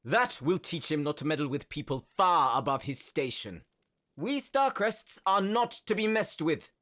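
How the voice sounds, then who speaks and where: A man's voice: a little high, animated, moderate pace, a bit bright. A man playing a menacing noble sorcerer villain in an audio drama, speaking in a pompous, gloating, theatrical way. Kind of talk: audio roleplay acting.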